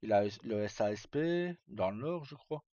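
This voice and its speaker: A man's voice: medium pitch, lively intonation, moderate pace, dark tone, no echo, dull audio. A man hosting a livestream, chatting informally and casually with some mild swearing. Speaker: livestream host. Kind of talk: casual chat.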